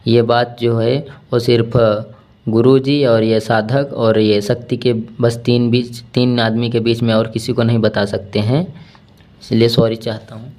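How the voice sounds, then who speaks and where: A man from northern India giving a testimonial on a recorded phone call as a disciple, halting, repetitive and deferential. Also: medium pitch, little pitch movement, darkish tone. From Shahjahanpur, Uttar Pradesh, India. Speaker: disciple and caller.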